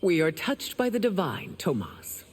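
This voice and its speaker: A woman playing a regal, stern empress in a video game, speaking formally and with measured command. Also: lowish voice, very animated, darkish tone, husky, exaggerated voice. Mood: calm, regal. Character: regal, stern warrior empress, compassionate but wrathful. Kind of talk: dramatic video game acting. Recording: long reverb, faint background noise.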